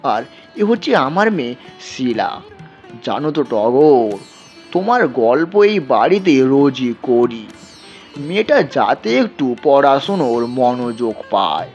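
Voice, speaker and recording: A man's voice: medium pitch, big pitch swings, slow pace, a little mellow, faint music behind, voice pushed down. A man voicing a proud, chatty father in an audio story, talking in a familiar, boastful way. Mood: proud, friendly. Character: proud, chatty father introducing his daughter. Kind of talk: audio storybook character acting. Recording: dull, lo-fi sound.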